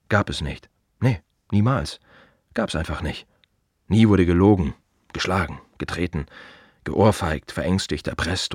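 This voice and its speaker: An actor playing a wry, brooding gravedigger in a radio drama monologue, speaking in clipped fragments with pointed repetitions. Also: male voice, low-pitched, husky, no echo, clean studio sound, full character voice. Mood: dry, sardonic, then ironic, emphatic. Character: wry, brooding male gravedigger musing on the dead.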